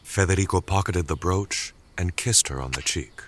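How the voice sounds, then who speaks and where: A man's voice: deep voice, moderate pace. A man reading scripted, dramatic story narration as the narrator of an audio tale.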